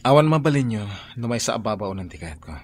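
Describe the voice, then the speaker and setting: A man playing a stubborn young son standing up to his mother, calm and firm. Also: medium pitch, fast talker, husky. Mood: defiant. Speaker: Hubert.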